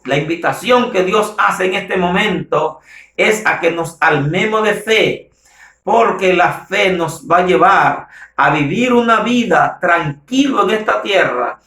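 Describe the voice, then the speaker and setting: Male pastor, notably high voice, emphatic sermon delivery with insistent repetition, roomy echo. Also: takes it slowly, sharp tone. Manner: emphatic, exhortative, repeats phrases for weight. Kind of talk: sermon, preaching to the faithful. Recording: roomy, echoing sound.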